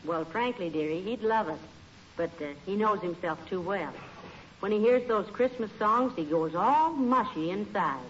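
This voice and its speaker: Actress for motherly wife in vintage radio comedy, fondly scolding, medium pitch, dulled old-time sound. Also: moderate pace, a little hiss or hum, close to an everyday voice. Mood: confiding. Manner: motherly, gently chiding. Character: sensible, fondly chiding middle-aged wife. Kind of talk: 1940s radio comedy acting. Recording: muffled old radio recording.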